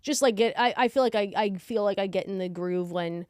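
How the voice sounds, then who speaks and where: A woman hosts a podcast and chats quickly with her guest. She asks questions in an easy, talkative way and uses plenty of listening fillers, and she is recorded close on a studio mic in a dry room. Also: medium pitch, a little mellow, smooth, dry recording. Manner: chatty, lots of listening fillers. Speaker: podcast host. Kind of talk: casual chat interview.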